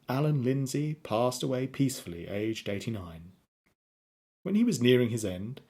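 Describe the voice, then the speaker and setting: A man's voice: mid-range voice, mellow, husky. A man narrating a radio play as a scripted storyteller.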